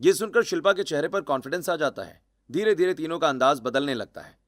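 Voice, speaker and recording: A man's voice: fairly high pitch, expressive, fast talker. A man reading scripted narration for a cartoon family story. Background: background music.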